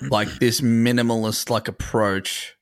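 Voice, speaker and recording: A man's voice: medium pitch, little pitch movement, a little slow, clean tone. A man co-hosting a podcast, rambling in casual chat with lots of fillers. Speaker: podcast co-host.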